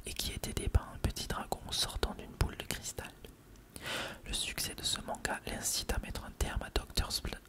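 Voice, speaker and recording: ASMR reader, fully whispered and breathy, for read-aloud factual text. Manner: reading aloud. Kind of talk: whispered ASMR reading of an encyclopedia article.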